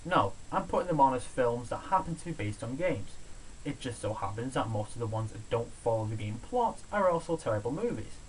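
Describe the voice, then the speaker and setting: Guy doing a sarky sweary review voiceover, mid pitch, bit of hiss behind him. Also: fairly quick, low-quality recording. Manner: sardonic, opinionated, sweary. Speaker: video reviewer, host of a countdown show. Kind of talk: scripted review narration. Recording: steady background hiss, amateur home recording.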